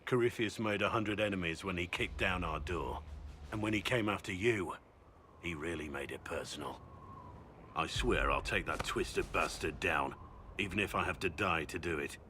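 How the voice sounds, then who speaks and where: An actor playing a stoic, duty-bound soldier in a video game, speaking in a solemn and deliberate manner. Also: male voice, sits low, pushed and bright, slight background noise. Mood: grim, vengeful. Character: stoic male warrior, duty-bound and grimly devoted. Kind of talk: video game cutscene acting.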